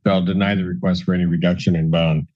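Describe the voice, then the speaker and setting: Curt low-voiced male judge. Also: flat delivery, fast. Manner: curt, matter-of-fact. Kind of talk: spontaneous courtroom rulings. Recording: dull courtroom audio feed.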